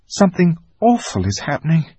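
A man voicing an anxious older girl, speaking high and nervously in a faltering, shaky way. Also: wildly swooping pitch, measured pace, warm tone, husky, no room sound, full character voice. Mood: frightened.

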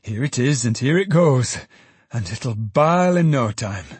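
A man voicing an old male ticket porter who talks quickly and breathlessly, fussing and chattering. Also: mid-range voice, animated, slightly rough, no echo. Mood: fussy.

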